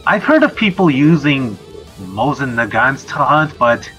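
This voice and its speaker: A man's voice: mid-range voice, very animated, a little slow, fairly bright tone, faint music behind. A man in casual chat on a game stream, talking in a rambling, explanatory way.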